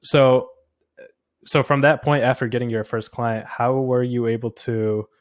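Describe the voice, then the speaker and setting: A man's voice: medium pitch, fairly even intonation, unhurried, dry recording, clean studio sound. A man hosting an interview podcast, introducing his guest and asking questions. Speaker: podcast host.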